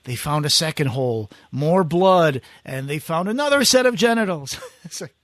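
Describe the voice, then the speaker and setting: Higher-voiced man chatting as podcast host. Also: big pitch swings, moderate pace, fairly bright tone, no room sound, studio quality. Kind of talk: casual chat.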